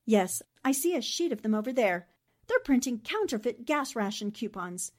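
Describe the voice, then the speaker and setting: Resolute American girl reporter, acted by a woman, 1940s radio mystery. Earnest, dramatic, very lively intonation. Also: on the high side, normal speed. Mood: sharp deduction. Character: brave, serious 1940s newspaperwoman investigator.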